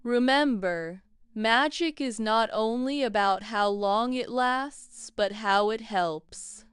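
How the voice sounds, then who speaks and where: A man voicing a kind, calm woman professor of magic in a bedtime story, gently reassuring. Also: sits high, slow, clear, resonant tone, dry recording, studio quality, pitched above the performer's own voice.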